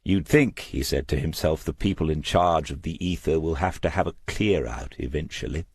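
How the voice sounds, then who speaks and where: A man with an extremely low, husky voice reads crime fiction aloud. His storytelling is measured and reflective. The sound is dry and close, with no sense of a room around him. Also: darkish tone, dry recording. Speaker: audiobook narrator. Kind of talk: scripted crime novel narration.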